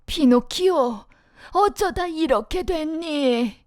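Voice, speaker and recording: A woman voicing a poor, kindly old puppet-maker in a storybook, speaking slowly and fatherly. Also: sits high, expressive, no echo, studio quality. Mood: tender. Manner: slow, gentle, fatherly. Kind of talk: storybook voice acting.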